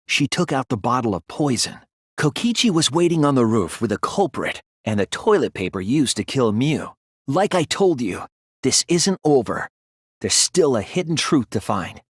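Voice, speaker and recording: A man voicing an earnest young male detective in a video game, thoughtful and anguished but resolute, with deliberate, analytical phrasing. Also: medium pitch, slightly rough, no room sound, studio quality. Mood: urgent, determined. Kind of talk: dramatic video game voice acting, deductive explanation.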